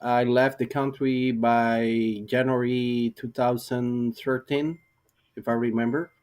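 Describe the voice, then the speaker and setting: A middle-aged man from Cuba with a Cuban Spanish accent, a non-native English speaker, telling his story as an interview guest with many pauses and fillers. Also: mid-range voice, flat delivery, darkish tone. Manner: halting, searching for words, many fillers. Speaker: guest, IT professional. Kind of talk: interview answers.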